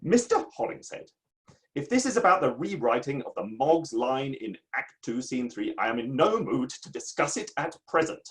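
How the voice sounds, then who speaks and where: A man's voice: medium pitch, big pitch swings, moderate pace, fairly bright tone. A man in a dramatic play reading, playing a proud, aggrieved Victorian writer with a formal, emphatic delivery. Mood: irritable.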